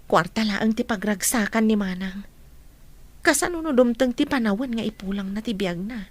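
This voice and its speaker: A woman's voice: fairly high pitch, moderate pace, dry recording, lightly acted. A woman playing a devoted, remorseful grown daughter and mother in an Ilocano radio drama, speaking earnestly and emotionally with an Ilocano accent. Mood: concerned.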